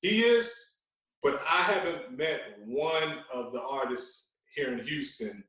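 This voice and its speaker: A man's voice: fairly high pitch, animated, slow pace, fairly bright tone. Guy podcast guest telling stories casually, repeats himself, super echoey room, kinda far from the mic. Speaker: podcast guest, veteran radio host. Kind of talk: casual chat, storytelling.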